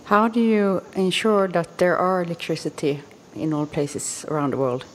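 A woman with a slightly low voice asks prepared interview questions. Her voice rings with long reverb in the room. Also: lively intonation, moderate pace, music in the background.

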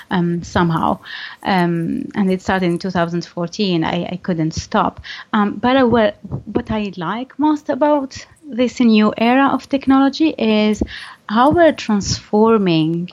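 A woman's voice: mid-range voice, highs cut off. A woman from Algeria answering interview questions earnestly, with frequent "um" fillers and restarts. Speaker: guest interviewee, finance professional.

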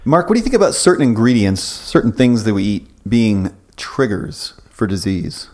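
A man's voice: fairly low pitch, expressive, moderate pace, darkish tone. A man co-hosting a casual podcast, wry and teasing, asking probing questions. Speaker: podcast co-host. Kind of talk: casual chat.

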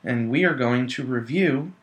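A man's voice: medium pitch, normal speed. Rambling young man co-hosting a chat. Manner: rambling, self-deprecating, laughs often. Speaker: podcast co-host. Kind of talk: casual chat.

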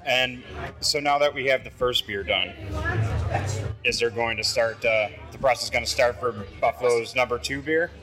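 A man's voice: mid-range voice, normal speed. A male podcast host with a Western New York accent, asking laid-back, rambling questions at a launch party. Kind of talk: casual interview. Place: launch party at a pizza restaurant.

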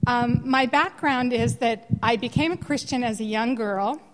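An older woman from California with an American accent, sharing her personal testimony to a church congregation, often clearing her throat. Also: fairly high pitch, moderate pace. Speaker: guest speaker sharing testimony. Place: church hall. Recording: long echo of a church hall.

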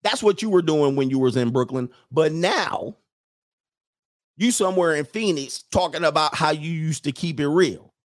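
Animated man riffing with mocking impressions. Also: on the high side, normal speed. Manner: animated, mocking impressions, laughs at own jokes. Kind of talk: casual commentary monologue.

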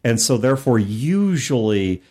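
A man's voice: mid-range voice, sing-song, a little slow, dark tone, slightly rough. A man hosting a podcast discussion, explaining his points in a wordy, professorial way. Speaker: podcast host. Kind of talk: discussion of tabletop gaming.

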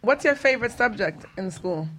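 A woman's voice: mid-range voice, animated, normal speed, fairly bright tone, poor audio. A woman co-hosting a casual radio interview, asking questions and reacting expressively.

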